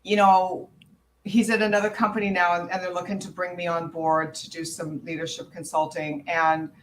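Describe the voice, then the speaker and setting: A woman's voice: lowish voice, little pitch movement, normal speed, fairly bright tone, slight background noise, rough recording. A woman hosting an interview, talking in a reflective way and thinking aloud. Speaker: host. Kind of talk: conversational interview.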